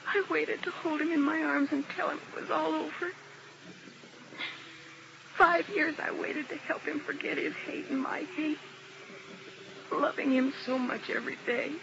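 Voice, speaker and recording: A woman's voice: sits high, steady tone, unhurried, vocal fry, long pauses. An actress playing a grieving, vengeful young woman in a 1950s radio drama, speaking intensely and emotionally. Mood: anguished. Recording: dull, noisy old radio recording.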